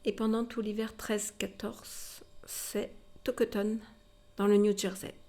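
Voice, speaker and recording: A woman's voice: mid-range voice, level tone, measured pace, mellow. A woman working as a museum guide, telling history informally and explaining directly to her listeners. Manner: explanatory, addresses listeners directly with rhetorical tags. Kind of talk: informal spoken historical storytelling to a visitor.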